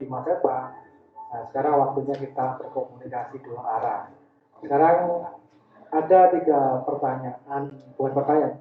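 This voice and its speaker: A man moderating a live talk and addressing both the guests and those asking questions. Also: medium pitch. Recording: echoey, tinny phone-like sound with noise.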